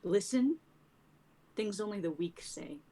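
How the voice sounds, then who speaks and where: A woman's voice: mid-range voice, expressive, unhurried, dark tone. A woman playing a cocky, ruthless female shadow fighter who taunts with contempt in a dramatic script reading. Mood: taunting.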